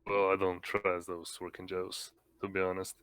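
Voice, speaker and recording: A man's voice: sits low, monotone, moderate pace, very noisy. A man playing a tabletop game, talking casually and searching hesitantly for his words.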